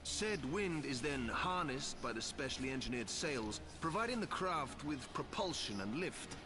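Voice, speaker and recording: A man's voice: mid-range voice, sing-song, normal speed, music in the background, close to an everyday voice. A man playing a proud, witty veteran airship engineer in a game cutscene, eloquent and self-satisfied. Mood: proud, amused. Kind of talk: scripted game cutscene acting.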